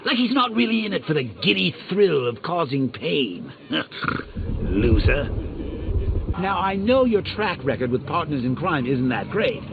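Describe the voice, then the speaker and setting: A man's voice: fairly high pitch, sing-song, a little slow, full character voice. An actor voicing a manic male clown villain in a video game, taunting in a theatrical, mocking way with sudden cackles. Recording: tinny lo-fi capture with clear hiss.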